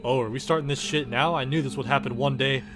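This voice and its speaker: A man's voice: mid-range voice, fairly even intonation, fast. A man performing an exasperated, foul-mouthed space engineer in a comedic game parody, with a sarcastic, ranting delivery. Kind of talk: comedic scripted parody dialogue. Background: game music.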